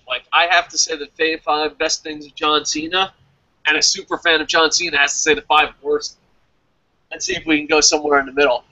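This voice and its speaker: A man's voice: on the high side, sharp tone, highs cut off. A man chatting casually as a review show host, opinionated and emphatic, swearing freely and rambling as he goes.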